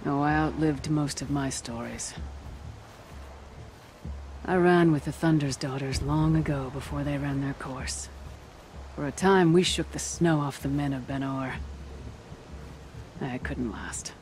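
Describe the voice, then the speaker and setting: An actress playing an old, proud huntress and trainer in a video game, with a wry storyteller's manner. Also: female voice, deep voice, measured pace, long pauses, a little mellow, slight background noise. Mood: wry, nostalgic. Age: older. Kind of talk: video game dramatic dialogue.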